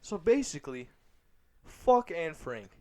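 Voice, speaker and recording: A man's voice: fairly high pitch, very animated, a little slow. A man hosting a podcast, congested and nasal, talking in a loose, slangy, rambling way. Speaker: podcast host. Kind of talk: casual chat.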